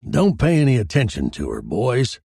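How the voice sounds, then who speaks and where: A man's voice: mid-range voice, wildly swooping pitch, moderate pace, vocal fry, warm tone, slightly rough, no echo, studio quality, full character voice. A man playing a flustered, blustering police detective in a dramatised story, complaining as he loses control. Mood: exasperated. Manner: complaining, grasping for reasons. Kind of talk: dramatised short story reading.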